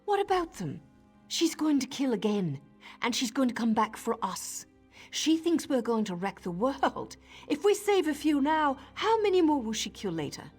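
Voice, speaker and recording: A woman voicing a blunt, scornful dark elf assassin in a game. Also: high-pitched, sing-song, moderate pace, slightly rough, in a large hall, faint music behind, full character voice.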